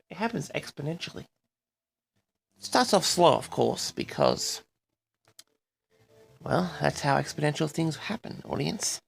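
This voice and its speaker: A man hosting an audio log, rambling in a halting solo monologue with frequent pauses, addressing his audience directly. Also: a little high, gravelly, husky. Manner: halting, pausing often, repeatedly addresses the audience.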